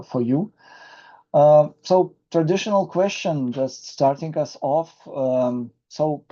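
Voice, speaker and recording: A male host puts questions to a guest in a courteous, halting way. His voice is deep-toned and mellow, with a gravelly edge, and the recording sounds dull and lo-fi. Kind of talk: interview questions.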